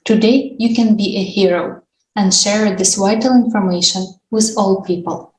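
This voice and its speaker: A woman's voice: mid-range voice, fairly even intonation, moderate pace, a little mellow. A woman co-hosting an international discussion, reading scripted announcements. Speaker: co-host of an international online discussion. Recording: echoey room with background noise.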